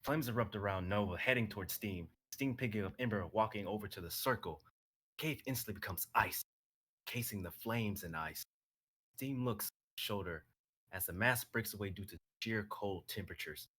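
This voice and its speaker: A young man with a lowish, husky voice and a forceful, bright edge. He reads his own story aloud dramatically on a live stream and chats casually about it. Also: normal speed. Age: young adult. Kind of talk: dramatic reading of a script, then casual chat.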